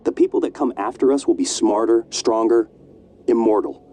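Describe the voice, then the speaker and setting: Man playing a mellow, cocksure scientist. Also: mid-range voice, normal speed, natural voice. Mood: confident, resolute. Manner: casual, self-assured, wry. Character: confident, flippant male scientist recording himself.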